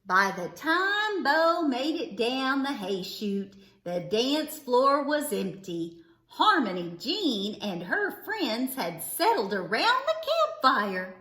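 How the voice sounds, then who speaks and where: A woman reading a children's storybook aloud expressively, with dramatic, drawn-out emphasis on words. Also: high voice, big pitch swings, sharp tone. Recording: echoey, roomy sound.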